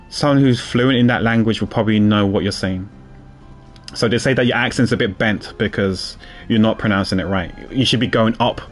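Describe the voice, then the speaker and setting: A man, streaming a game and rambling in casual chat with many restarts. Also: lowish voice.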